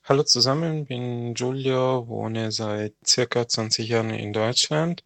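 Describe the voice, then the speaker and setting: A man's voice: medium pitch, measured pace, vocal fry, a bit bright, no room sound. A man with an Italian accent, whose first language is Italian, giving a short hesitant personal statement. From Italy. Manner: hesitant, with fillers.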